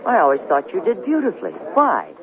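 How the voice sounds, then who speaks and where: A woman's voice: lowish voice, huge pitch swings, a little fast. Strict middle-aged lady boss, formal and firm, 70s radio drama, tinny with a little hiss. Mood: brisk, measured. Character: stern, principled middle-aged woman news department boss. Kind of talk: 1970s radio drama acting. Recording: tinny, lightly noisy 1970s radio broadcast.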